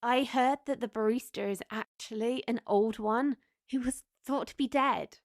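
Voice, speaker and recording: Woman playing a skeptical customer, lightly high voice. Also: moderate pace, no echo, clean studio sound, close to an everyday voice. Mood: questioning. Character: skeptical, questioning female cafe customer. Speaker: voice actor in a fiction podcast. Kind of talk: audio drama dialogue.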